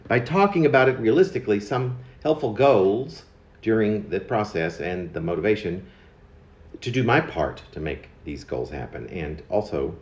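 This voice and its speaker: A man in his fifties reading his own confessional poem aloud, in a halting way with pauses mid-phrase and stressed words. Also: lowish voice, expressive, a little mellow. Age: 50s. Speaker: poet. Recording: dull lo-fi recording in echoey room.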